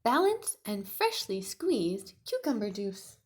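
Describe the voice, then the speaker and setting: A woman's voice: mid-range voice, very animated, slow pace, darkish tone. Woman voicing a serene, quirky dinosaur auntie. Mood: serene, advising.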